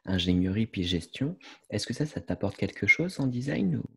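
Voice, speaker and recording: A man's voice: lowish voice, sing-song, moderate pace, vocal fry, a little mellow, husky. A man interviewing a guest, asking long, hesitant, rambling questions. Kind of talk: conversational interview.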